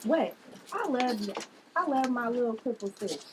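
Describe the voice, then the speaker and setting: High-voiced sardonic sister played by a woman. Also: animated, normal speed, background noise, natural voice. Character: sardonic, blunt estranged sister. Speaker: actor at a table read. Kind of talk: script table read, family drama.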